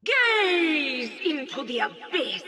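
High, slow commanding sorceress. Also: female voice, sing-song, bright, forceful tone, exaggerated voice. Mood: imperious. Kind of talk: video game battle voice lines.

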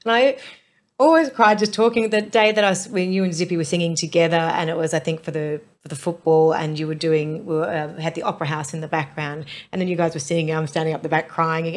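A woman in her forties hosting an interview, effusive and gushing, with lots of warm affirmations. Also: on the low side. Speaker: host. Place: bright studio set, indoors.

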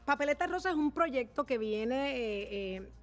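A middle-aged woman with a Puerto Rican accent, a show host being interviewed as a guest, talking in a lively way and laughing easily. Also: high voice, moderate pace, soft background music. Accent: Puerto Rican Spanish. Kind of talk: casual interview. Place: TV studio set. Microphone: desk microphone.

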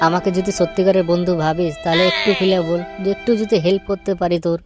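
A woman's voice: on the low side, fairly even intonation, fast talker, over music, close to an everyday voice. A woman voicing an eager, reckless loyal young friend in a comic cartoon, prodding and reassuring. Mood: concerned.

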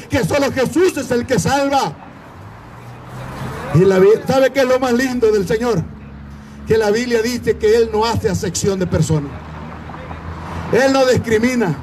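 A man's voice: very high voice, darkish tone, slight background noise. A man with a Latin American Spanish accent preaching an evangelistic sermon in a fervent, declamatory, exhorting manner.

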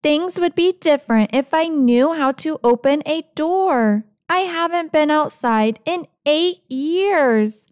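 A woman's voice: high voice, lively intonation, slow pace, hardly any pauses, a little mellow, dry recording, studio quality. A woman voicing a grumpy, dramatic, self-pitying house cat with sarcastic, theatrical complaining in a picture-book read-aloud. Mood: bored, mopey.

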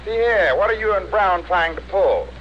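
A demanding angry boss on old radio, high voice, muffled noisy recording. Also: male voice, lively intonation, moderate pace. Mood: irritated. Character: irate, demanding male company boss. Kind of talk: old-time radio comedy acting. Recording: noisy muffled vintage radio, studio audience.

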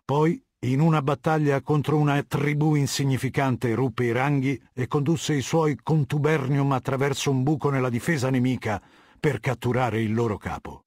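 Male actor as ruthless warlord, middle-aged, imperious, scornful, measured delivery, medium-pitched, dry studio sound, video game dialogue. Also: no echo, natural voice. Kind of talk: dramatic game dubbing, scripted dialogue.

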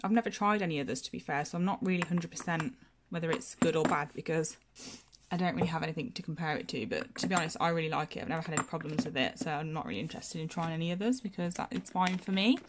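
A woman's voice: sits low, fast, background noise. A young woman, a nail technician and channel host, chatting casually through a tour of her home nail room, a bit sniffly from hay fever, with lots of fillers and restarts. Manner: chatty, self-deprecating, many fillers and restarts.

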